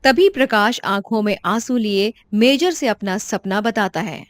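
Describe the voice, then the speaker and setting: A woman narrating a cartoon story in a calm, even storytelling voice. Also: medium pitch, a little slow, dry recording, clean studio sound.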